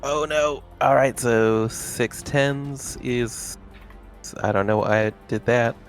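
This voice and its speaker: A man's voice: mid-range voice, fairly even intonation, takes it slowly, soft background music. A man chatting casually as a player in a tabletop roleplaying game.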